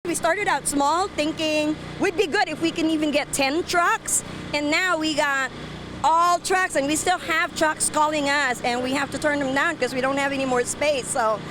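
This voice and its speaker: A woman's voice: sits high, steady tone, normal speed. A woman giving an unscripted interview as an event organizer, recorded outdoors. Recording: noisy outdoor ambience with vehicles.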